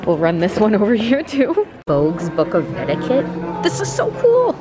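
A young adult woman chatting casually in a vlog, gushing and exclaiming in an excitable way. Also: mid-range voice, moderate pace, music in the background. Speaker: vlogger. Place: indoors, bookstores and an empty room at home. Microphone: handheld camera at arm's length. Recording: noticeable background noise.

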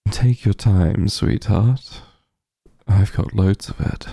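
A man playing a teasing, protective vampire mafia heir in an intimate ASMR roleplay, with a husky, gravelly, breathy voice and a sly murmur. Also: on the low side, dry recording, clean studio sound, heavily acted. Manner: slow, smooth-tempered, teasing drawl with soft murmurs.

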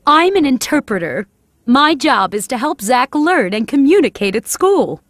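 A woman playing kindly, helpful grown-up women in a children's animated story, speaking clearly and gently. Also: high-pitched, normal speed, dry recording, clean studio sound. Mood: helpful, polite. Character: friendly, helpful adult women: interpreter and mom.

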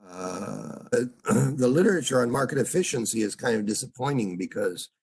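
An older man teaching an online seminar as a professor, speaking haltingly with many fillers and stopping often to put questions to his students over a video call. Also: on the low side, gravelly, husky. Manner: halting, full of uhs, asks students questions. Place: home room on a video call. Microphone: video call computer mic.

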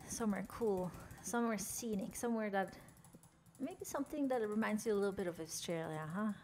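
A woman's voice: mid-range voice, brisk, darkish tone. Woman, Norwegian accent, young adult, playful game streamer, mid-range voice, faint background noise. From Norway. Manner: chatty, jokey, chatting with chat while playing. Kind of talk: playful in-character game commentary.

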